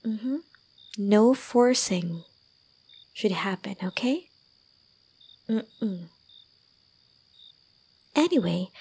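A woman playing a gentle, doting young woman in an ASMR roleplay, soft and breathy, often near a whisper, soothing and lightly teasing. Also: on the high side, exaggerated voice. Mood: gentle concern. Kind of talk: ASMR roleplay, intimate one-sided dialogue.

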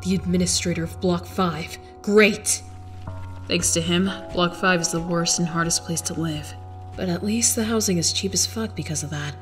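A woman's voice: fairly low pitch, normal speed, music in the background, natural voice. A woman voicing a tough, cynical, foul-mouthed young street fighter in a comic fan dub. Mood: bitter, brooding. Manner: blunt, cynical.

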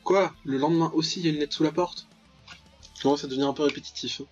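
A man's voice: a little high, steady tone, quick pace, darkish tone, muffled recording, faint music behind. A young man giving casual, slangy let's play commentary, with mock-dramatic, exclamatory reactions. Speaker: gaming video host.

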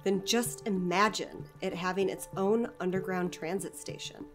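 A woman's voice: fairly even intonation, normal speed, over music, natural voice. A woman playing a chatty, enthusiastic community center director in an audio drama, talking expansively and persuasively. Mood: eager, persuasive. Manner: expansive, persuasive, eager to pitch ideas.

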